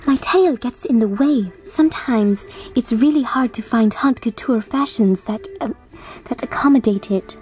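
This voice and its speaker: Mellow Chinese-accented dragon girl played by a woman. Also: fairly high pitch, normal speed, hardly any pauses, far from the mic, soft background music, lightly acted. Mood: proud. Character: fashion-proud teenage dragon girl from a cartoon.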